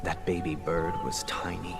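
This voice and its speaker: A calm, well-spoken young man leads his listeners with formal, measured phrasing in a game cutscene. His voice sits a little low. Loud game music plays underneath. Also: gravelly. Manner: measured, formal, softly persuasive. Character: composed, eloquent young man leading others gently. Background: loud game soundtrack music.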